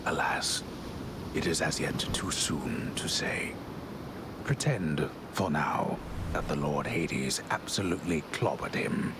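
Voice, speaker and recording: A man's voice: rumbling low, slow pace, whispered. A man playing a grave, wry storyteller narrating a myth in a video game, with florid, droll phrasing. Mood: solemn, wry. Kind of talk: theatrical scripted game narration.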